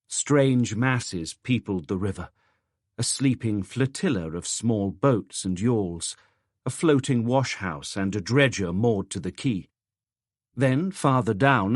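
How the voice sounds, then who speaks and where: Man, audiobook narration, literary prose, lowish pitch, very dry close recording. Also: dry recording.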